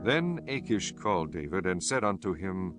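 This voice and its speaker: Low voiced guy reading the bible as narrator, measured and formal, soft music underneath. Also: sing-song. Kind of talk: scripted scripture audiobook narration.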